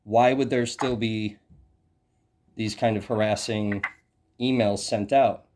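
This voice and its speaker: An American man working as a journalist questions an official in a halting, persistent way, often repeating words as he presses his point. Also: on the low side, fairly even intonation, a little mellow. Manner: persistent, challenging. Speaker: independent journalist. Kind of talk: questioning an official in a phone interview.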